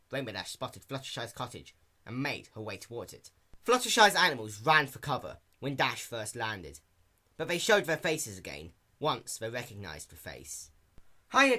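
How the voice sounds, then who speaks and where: Bright, lively man reading a story. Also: medium pitch. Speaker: fan fiction reader. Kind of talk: amateur animated story narration.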